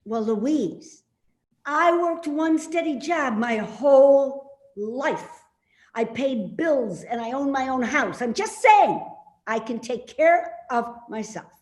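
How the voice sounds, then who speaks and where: A cranky, stubborn elderly mother, played by a woman in a stage reading, heard in an echoey room. She speaks slowly in a fairly high voice, grumbling and laying on the guilt. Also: animated, darkish tone. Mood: sarcastic. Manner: grumbling, guilt-tripping, pointed. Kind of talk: readers theater stage acting. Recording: roomy, echoey sound.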